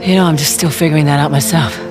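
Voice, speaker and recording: A woman's voice: sits low, steady tone, very fast, natural voice. An actress playing a cheeky female treasure hunter in a video game, casual, teasing and dry-witted. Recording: very loud music behind dialogue.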